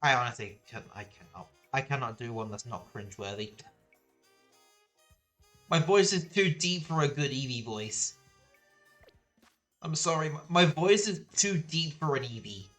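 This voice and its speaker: A young man talks casually over a live game stream, rambling and laughing at himself. His voice is bright and forward, sitting at an ordinary middle pitch. Faint music plays underneath. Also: lively intonation, moderate pace, long pauses. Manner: rambling, self-deprecating, laughs often.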